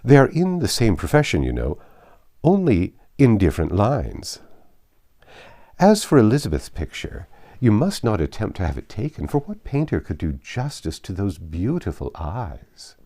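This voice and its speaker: A man's voice: lowish voice, wildly swooping pitch, normal speed, mellow, exaggerated voice. A man voicing a jealous, mocking, snobbish young society lady in a storybook reading, with an affected, needling manner. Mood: gleefully teasing.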